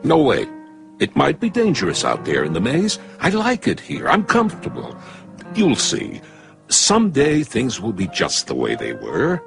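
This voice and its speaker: A man's voice: mid-range voice, huge pitch swings, unhurried, gravelly, heavily acted. A man voicing a stubborn, fearful little cartoon character who whines and protests in an exaggerated way over music. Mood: stubborn, uneasy.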